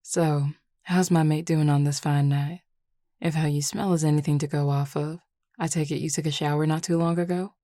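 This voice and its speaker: A woman's voice: deep voice, fairly even intonation, normal speed, dark tone, no room sound, clean studio sound, natural voice. A woman acting a flirtatious, teasing Southern werewolf in an audio roleplay, with a Southern US accent and a colloquial drawl. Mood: playful, flirty.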